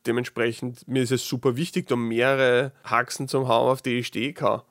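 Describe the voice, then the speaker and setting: A man's voice: mid-range voice, flat delivery, a little fast, no room sound. Chatty Austrian-dialect man, dry close sound. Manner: chatty, thinking aloud with frequent restarts. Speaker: podcast host. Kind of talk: casual solo monologue.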